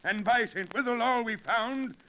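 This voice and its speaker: A man's voice: extremely high pitch, moderate pace, sharp tone. An actor playing a hearty, commanding nobleman with theatrical archaic speech in a 1940s radio adventure. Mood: jovial, hearty. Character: hearty, commanding nobleman on a hunt, disguised as abbot. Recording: noisy 1940s radio recording with clicks.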